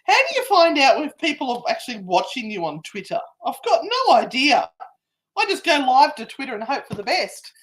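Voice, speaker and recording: A woman's voice: sits high, big pitch swings, moderate pace, poor audio. A woman co-hosting a live stream, cheeky and profane, greeting viewers by name. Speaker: co-host. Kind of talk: casual live-stream banter.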